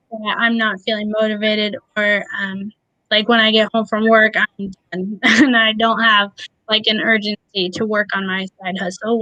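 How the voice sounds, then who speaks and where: Bright high-voiced woman guest in her thirties. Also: no echo, poor audio. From born in a Russian-speaking country, adopted to the US at six. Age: 30s. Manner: open, reflective, light nervous laughs. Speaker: guest, preschool educator and aspiring life coach. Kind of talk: candid personal interview.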